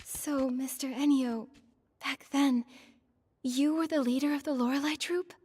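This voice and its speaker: A gentle young heroine. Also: female voice, sits high, steady tone, measured pace, big-room sound. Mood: earnest. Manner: gentle and reasoning. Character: calm, compassionate young heroine. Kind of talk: game cutscene voice acting.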